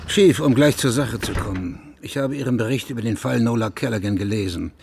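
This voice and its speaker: Actor as gravelly, blunt police sergeant. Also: male voice, medium pitch, fairly quick, darkish tone, slight background noise, natural voice. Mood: businesslike. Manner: blunt, pressing, commanding. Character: blunt, insistent police sergeant interrogating a suspect. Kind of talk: radio drama acting.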